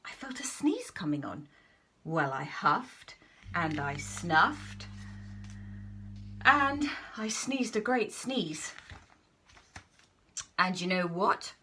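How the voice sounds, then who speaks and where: A woman's voice: fairly low pitch, big pitch swings, drawn-out words, long pauses, sharp tone, slight background noise, full character voice. A woman reading a children's storybook as a folksy, aggrieved male wolf, confiding with exaggerated emphasis and protesting his innocence. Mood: confiding storytelling. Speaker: storybook reader.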